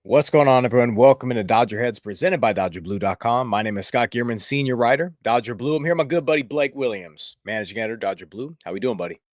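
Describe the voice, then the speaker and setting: A man's voice: medium pitch, animated, fast, few pauses, no room sound, studio quality. A man with a stuffy, congested voice hosting a casual live sports chat in a rambling, filler-heavy way. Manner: rambling, chatty, many fillers. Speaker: podcast host.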